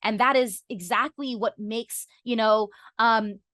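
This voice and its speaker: A woman's voice: fairly high pitch, steady tone, unhurried, a bit bright, no echo, studio quality. A woman guest on a podcast explaining her views conversationally, earnest and articulate, often saying "you know".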